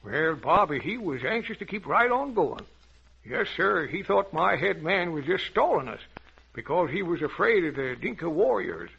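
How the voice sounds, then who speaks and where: An old-time radio storyteller, a jovial old adventurer. His voice is creaky and husky, swinging in lively folksy turns through a dull, lo-fi recording. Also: fairly high pitch, moderate pace, heavily acted. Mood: folksy storytelling. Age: older. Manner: folksy, chuckling, rambling storyteller. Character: jovial old adventurer telling children tales.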